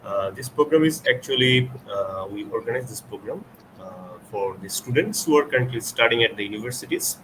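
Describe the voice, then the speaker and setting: A man hosting a webinar and introducing a guest speaker in a halting, hesitant way. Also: medium pitch, lively intonation. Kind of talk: semi-scripted webinar introduction. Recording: noticeable background noise.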